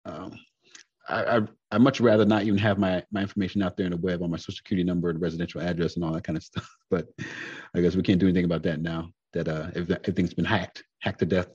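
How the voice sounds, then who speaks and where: Deep husky man, podcast co-host, dull dry mic, rambling filler-heavy talk. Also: flat delivery, darkish tone, no echo. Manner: loose and unhurried, heavy with fillers and restarts. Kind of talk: casual chat.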